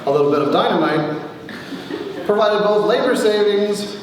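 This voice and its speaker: A middle-aged man giving a wry, theatrical reading of his own comic memoir as its author, with deliberate pauses, recorded in a large echoey hall from a distance. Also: high voice, animated. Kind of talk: dramatic comic memoir reading to a live audience. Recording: echoey hall, distant mic, faint noise.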